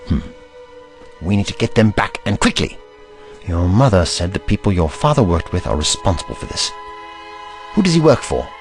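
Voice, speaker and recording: An actor playing an eccentric, kindly and curious investigator in an audio drama, pensive and courtly in manner. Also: male voice, low voice, very animated, normal speed, pauses often, faint music behind. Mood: grave, kindly.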